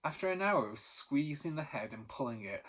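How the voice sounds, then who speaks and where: Husky male teacher reading a storybook aloud. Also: a little high, moderate pace. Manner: lively storytelling with animated emphasis. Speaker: primary school teacher. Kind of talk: reading a children's novel aloud.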